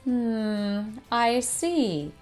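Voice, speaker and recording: A woman's voice: a little high, lively intonation, very slow, a little mellow, pitched above the performer's own voice. A helpful, curious monkey acted out by a woman gives coaxing advice in a storybook read-aloud. Faint music plays behind the instructive lines.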